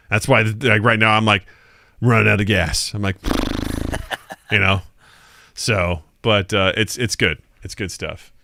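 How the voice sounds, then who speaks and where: A forty-year-old man hosts a live podcast in a loose, joking manner, reading comments from his viewers. His voice sits on the lower side and comes through with a bright, forceful edge. The sound is dry and close. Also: no room sound. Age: 40s. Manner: laid-back, joking, reads viewer comments aloud. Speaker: podcast host. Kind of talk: casual live-stream chat.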